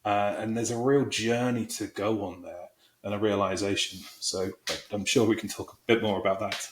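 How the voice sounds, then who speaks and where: A man's voice: lowish voice, fairly even intonation, moderate pace, echoey room, rough recording. A middle-aged man talking as an interview guest, reflective and self-deprecating about his career. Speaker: guest, people and talent executive. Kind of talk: conversational interview.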